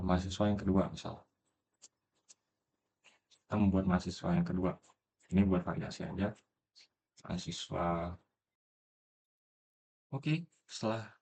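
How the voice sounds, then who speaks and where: Man, very low voice, relaxed coding tutor, filler-heavy, faint background hiss. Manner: relaxed, thinking aloud with many fillers. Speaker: programming tutor. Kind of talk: screen-recorded coding tutorial.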